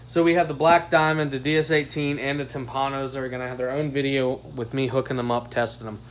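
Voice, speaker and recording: A man's voice: medium pitch, flat delivery, quick pace. An American man giving a casual product demo as a reviewer, recorded on a phone microphone. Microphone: phone above the bench.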